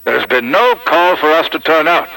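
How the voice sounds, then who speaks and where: A man voicing an older, impatient RAF duty officer on the telephone, gruff, curt and clipped. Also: fairly high pitch, normal speed, pushed and bright, voice pushed up, low-quality recording. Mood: brusque.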